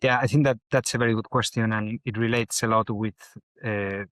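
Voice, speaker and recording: A man's voice: fairly low pitch, steady tone, fairly quick, a bit bright, no echo. A middle-aged man from northern Spain with a Spanish accent, answering interview questions thoughtfully with many hesitations. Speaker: architect and educator, guest.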